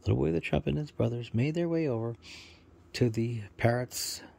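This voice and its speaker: A man telling an improvised bedtime story for children, halting at times and repeating words for emphasis. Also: mid-range voice, creaky, dark tone, no echo.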